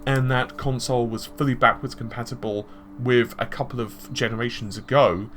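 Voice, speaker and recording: A man's voice: mid-range voice, fairly even intonation, normal speed, a bit bright, slight background noise. A man giving conversational tech and gaming commentary, explaining his points with examples and asides. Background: background music.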